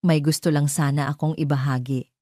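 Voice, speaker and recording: A woman with a Filipino accent voicing a betrayed wife in an audio drama, firm, measured and quietly defiant. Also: low-pitched, fairly even intonation, no echo, studio quality, lightly acted. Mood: composed, defiant.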